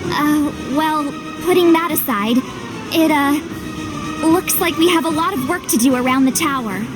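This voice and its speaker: An actress playing an earnest, determined young heroine in a video game cutscene, speaking emphatically over music. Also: female voice, high-pitched, measured pace, rough recording. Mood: flustered. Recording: loud music behind.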